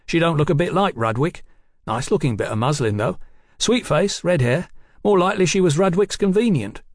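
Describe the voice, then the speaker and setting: A sly private detective from a storybook acted out by a male narrator. He needles his betters in cheeky street slang, pitched high and full of insolence. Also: animated, fairly quick, dry recording, clean studio sound. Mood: insolent.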